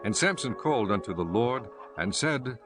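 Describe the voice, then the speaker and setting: A man's voice: mid-range voice, animated, normal speed, over music. A man giving a scripted narration of scripture as narrator of an audio drama.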